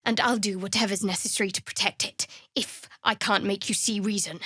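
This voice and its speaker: A woman voicing a guarded, secretive young cleric of a dark goddess in a fantasy video game, with a measured, dryly cool delivery. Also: mid-range voice, fairly even intonation, slightly rough, no echo, studio quality. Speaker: video game character actor.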